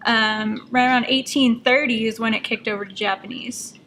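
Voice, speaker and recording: A woman's voice: fairly high pitch, steady tone, moderate pace, fairly bright tone. A woman co-hosting a show, explaining things in an informal, conversational way. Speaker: show co-host. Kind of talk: casual chat, explaining results. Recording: noticeable background noise.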